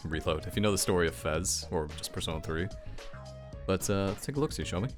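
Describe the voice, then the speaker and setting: A man's voice: low-pitched, fast, over music. A man giving casual, rambling reaction commentary as the host of a gaming video.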